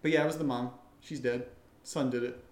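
A man's voice: mid-range voice, steady tone, fairly quick. A man co-hosting a podcast, chatting casually and reading out questions from listeners. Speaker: podcast co-host. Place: indoor room, live-streamed session. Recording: roomy, echoing room sound.

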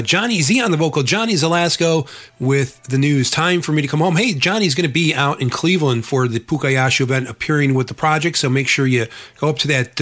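A man hosting a polka radio show, sounding congested and nasal from an illness, with an easygoing delivery full of "uh"s. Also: fairly high pitch. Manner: relaxed, filler-heavy, hesitant delivery. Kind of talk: radio DJ patter.

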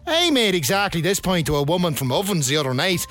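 A man's voice: high-pitched, big pitch swings, fast talker, a bit bright, soft background music. A man playing a cocky, aggrieved ladies' man with a Dundalk accent, boastful and indignant in a comedy monologue. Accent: Dundalk, County Louth.

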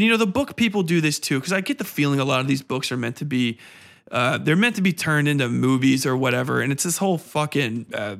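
A man's voice: on the high side, a bit bright. A man hosting a podcast, ranting casually in a sardonic, exasperated, heavily profane way. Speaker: podcast host. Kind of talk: casual opinionated rant.

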